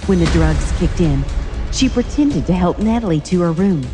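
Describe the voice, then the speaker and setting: A woman's voice: lowish voice, animated, moderate pace, over music. A woman reading scripted story narration with suspenseful, dramatic phrasing.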